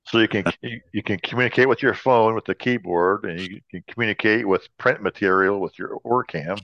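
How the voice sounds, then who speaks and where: A man's voice: lowish voice, fairly quick, no room sound, lo-fi sound. A man co-hosting a casual podcast, bantering and cracking jokes as he chats. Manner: jokey. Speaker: podcast co-host.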